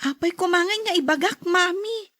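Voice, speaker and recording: An actress playing an earnest, questioning schoolchild in a radio drama, with an Ilocano accent. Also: female voice, extremely high pitch, normal speed, bright, forceful tone, no echo, studio quality. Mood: earnest, pleading.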